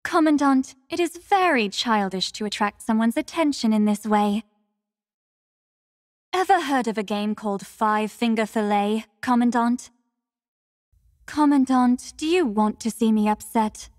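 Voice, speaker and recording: A woman voicing a devout young female warrior in an anime-style game, gentle and solemn, speaking formally and with measured earnestness. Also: fairly high pitch, dry recording, clean studio sound, natural voice. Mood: composed, gently chiding.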